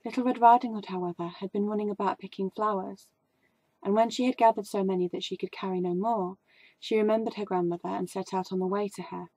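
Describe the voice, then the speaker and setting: A woman with a husky, medium-pitched voice reads a bedtime tale. The sound is dry, with no room echo. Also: fairly even intonation, fast, dark tone. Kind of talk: storybook narration.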